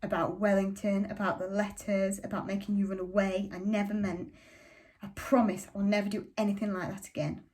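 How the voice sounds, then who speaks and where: A woman voicing an angry middle-aged father who shouts at his family. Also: mid-range voice, little pitch movement, fairly quick, natural voice. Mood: pleading. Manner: furious shouting, then tearful pleading.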